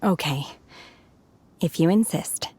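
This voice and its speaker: A woman's voice: low-pitched, slow pace, very noisy. An actress playing an aloof, solemn young female ascetic in a video game, speaking in a detached, understated way. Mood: reserved. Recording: music behind the voice.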